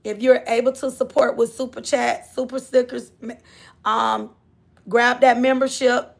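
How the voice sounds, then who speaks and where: A high-pitched woman from Florida with a Southern drawl hosts a livestream. Her voice has a gravelly, fry-laden edge. She talks in a loose, chatty way, drifting from topic to topic. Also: flat delivery, a little slow. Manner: chatty, drawling, scolds her kids off-mic. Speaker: livestream host. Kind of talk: casual live chat.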